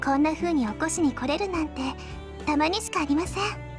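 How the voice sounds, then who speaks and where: Very high, gentle anime schoolgirl played by a woman. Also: rapid-fire, lightly acted. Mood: gentle, cheerful. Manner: soft-spoken, polite, deferential. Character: gentle, polite teenage schoolgirl, devoted junior. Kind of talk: Japanese visual novel voice acting. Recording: game music behind the voice.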